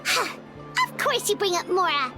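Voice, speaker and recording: A woman voicing an excitable tiny fairy companion with a very high, bubbly voice in a video game. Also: normal speed. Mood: chatty. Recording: game audio over music.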